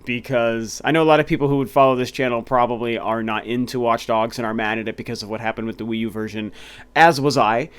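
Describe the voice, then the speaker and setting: Young male game channel host, clear voice, stuffy and slightly nasal from a cold, excited and rambling. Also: medium pitch. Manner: enthusiastic, rambling with restarts. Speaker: video game review host. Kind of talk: casual solo talk to camera.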